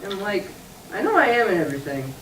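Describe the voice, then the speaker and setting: A woman's voice: mid-range voice, very animated, fast, far from the mic, lightly acted. A woman doing a sulky little boy puppet. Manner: whiny and sulky, cries in bursts. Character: small sulky boy puppet hiding up a tree. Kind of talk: church puppet show acting. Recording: echoey room picked up from afar, faint noise.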